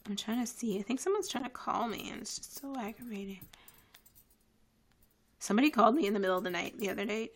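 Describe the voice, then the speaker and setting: A woman's voice: a little high, lively intonation, fast, long pauses, breathy edge. A young woman whose first language is French, chatting casually on a live stream from her living room, rambling with lots of fillers. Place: living room at home, indoors. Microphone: headset with webcam.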